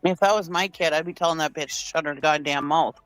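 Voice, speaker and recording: Male live-chat guest, slightly high-pitched, casual and reasoning, light music behind. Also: a little fast, a bit bright, smooth, no room sound, low-quality recording. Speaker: live stream panelist. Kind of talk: casual true-crime reaction chat.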